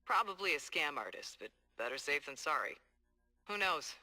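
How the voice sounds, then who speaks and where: An actor playing a determined, hard-boiled police detective dictating earnest, streetwise case logs in a video game. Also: male voice, on the high side, sing-song, moderate pace, sharp tone, full character voice. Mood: uneasy, skeptical. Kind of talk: video game audio log monologue.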